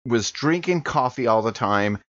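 A man improvising in character as a player in a role-playing game, earnest and deliberate, on a home-studio microphone. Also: medium pitch, expressive, a bit bright, dry recording, muffled recording.

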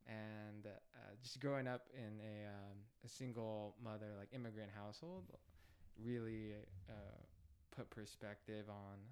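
A young man from Los Angeles, a guest in a podcast interview, speaking in an upbeat, steady way with many fillers. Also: fairly low pitch, smooth. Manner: upbeat, steady, frequent fillers like "you know". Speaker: political candidate, guest.

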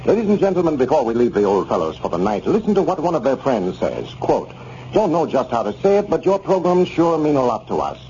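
Animated 1930s male radio announcer. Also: medium pitch, a little fast. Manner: polished, formal. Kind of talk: 1930s scripted sponsor announcement. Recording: muffled, noisy 1930s radio recording.

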